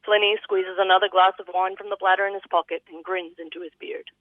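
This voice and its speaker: A woman's voice: mid-range voice, steady tone, quick pace, no echo. A woman with an Australian accent reading a personal eulogy as vivid literary storytelling. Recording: thin phone-line sound.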